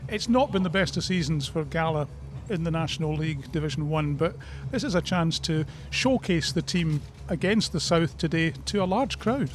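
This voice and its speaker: Higher-voiced man interviewing, noisy background. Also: lively intonation, normal speed, few pauses. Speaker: sports radio interviewer. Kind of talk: pre-match interview.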